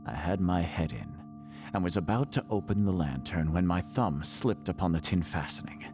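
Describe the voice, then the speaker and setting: A man playing a nervous, boastful madman confessing a murder in a theatrical Gothic horror monologue, melodramatic and swinging between hushed and shrill delivery. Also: moderate pace, over music. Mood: boastful, cunning.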